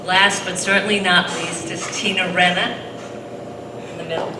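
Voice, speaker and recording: Low-voiced woman introducing panelists in an echoey hall. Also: fairly even intonation. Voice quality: fairly low voice. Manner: reads introductions with frequent ums, slow and deliberate. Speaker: seminar moderator. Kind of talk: introducing a panel at a public seminar. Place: high school hall. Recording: echoey hall recorded from far off, noisy.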